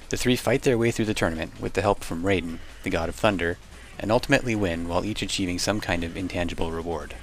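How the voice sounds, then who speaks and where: A man's voice: deep voice, a bit bright. A man reading scripted documentary narration over background music. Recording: music under the voice.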